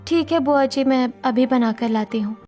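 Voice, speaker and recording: A woman's voice: sits high, normal speed, mellow, close to an everyday voice. A woman playing an overworked, exasperated but polite young Indian daughter-in-law in a Hindi story drama. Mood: polite, obliging. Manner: complaining, polite to elders. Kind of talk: Hindi audio story dialogue acting.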